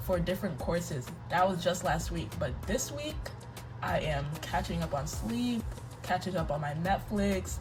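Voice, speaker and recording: A woman's voice: on the low side, distant mic, low-quality recording. A young woman in college chatting to her viewers in a casual vlog, her voice stuffy from congestion. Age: young adult. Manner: chatty, addresses viewers directly. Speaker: student vlogger.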